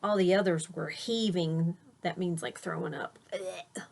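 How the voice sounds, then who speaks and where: A woman reading a children's picture book aloud expressively, stressing key words and pausing to explain things simply for young listeners. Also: on the low side, fairly even intonation, creaky. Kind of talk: storybook read-aloud with simple explanatory asides.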